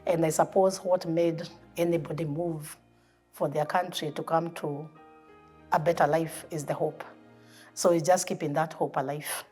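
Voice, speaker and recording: A woman with a very low, flat voice speaks reflectively and steadily in a documentary interview. Faint music sits behind her. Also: normal speed, breathy edge. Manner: reflective, steady, speaking in imagery of hope and light.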